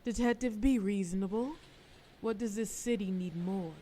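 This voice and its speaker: A woman's voice: medium pitch, normal speed, mellow, natural voice. A woman playing a cunning, flirtatious mafia boss in a scripted audio roleplay, sultry, persuasive and teasing, with cool threat. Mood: coaxing, menacing.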